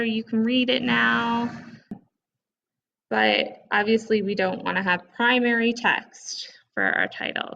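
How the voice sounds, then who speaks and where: A woman with heavy vocal fry and a bright, fairly high voice walks through software steps as a tutorial presenter. Her delivery is informal and conversational. The recording is somewhat dull and lo-fi. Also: slight background noise. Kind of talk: casual step-by-step software screencast tutorial. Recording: dull, lo-fi microphone sound.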